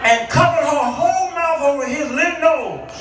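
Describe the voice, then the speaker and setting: A middle-aged American man preaching a humorous, animated storytelling sermon, often throwing questions out to his congregation. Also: extremely high pitch, moderate pace, crowd noise. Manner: animated, declamatory, often calling on the listeners with questions. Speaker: guest preacher. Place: large echoey room with a congregation. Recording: echoey hall, distant mic, audience noise.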